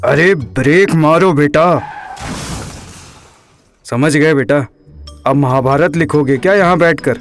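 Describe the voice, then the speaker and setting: Man as fussy father, slightly high voice. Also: takes it slowly, long pauses, soft background music. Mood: teasing, chatty. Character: concerned, suspicious middle-aged father, comically fussy.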